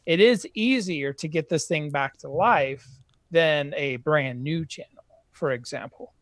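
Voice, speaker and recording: A man's voice: fairly high pitch, measured pace, no room sound. A man co-hosting a live-stream Q&A, speaking hesitantly with many fillers and the odd joke. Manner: hesitant, filler-heavy, joking. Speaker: co-host.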